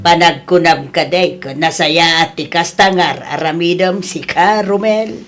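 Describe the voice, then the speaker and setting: A woman's voice: on the low side, a little slow, faint music behind, lightly acted. A woman acting a worried, reproachful middle-aged mother with a Filipino accent in a family audio drama. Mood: exasperated, worried. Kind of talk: Ilocano radio-style family drama acting.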